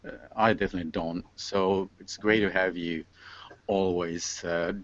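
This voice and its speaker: Guy hosting an online hangout, deep-ish voice, lots of stop and start, bit lo-fi. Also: fairly even intonation, normal speed, no room sound. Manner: hesitant, stop-and-start phrasing. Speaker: host of an online panel show. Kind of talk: informal unscripted hosting. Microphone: online video call. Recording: slightly dull, lo-fi online video call.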